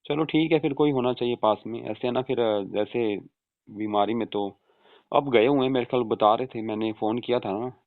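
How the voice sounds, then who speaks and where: A man playing an easygoing adult son reassuring his mother in an informal, chatty phone conversation, with a creaky voice on a tinny call recording. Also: medium pitch, normal speed, no room sound, close to an everyday voice. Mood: casual, chatty. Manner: informal, chatty, often seeking agreement with tag questions. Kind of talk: staged casual phone conversation in Hindi.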